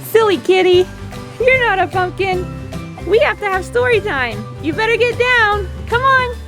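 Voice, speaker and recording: Animated, high-pitched woman reading to children. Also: normal speed, faint music behind. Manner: playful, sing-song, expressive, addressing children directly. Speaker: children's storytime host. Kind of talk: animated picture-book reading for young children.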